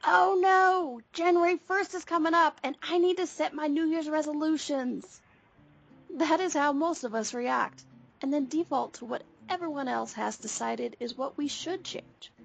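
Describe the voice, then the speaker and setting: A woman reading a scripted radio ad in a bright, persuasive way. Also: sits high, normal speed, gravelly. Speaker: ad voice, workshop leader. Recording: lo-fi ad with faint guitar.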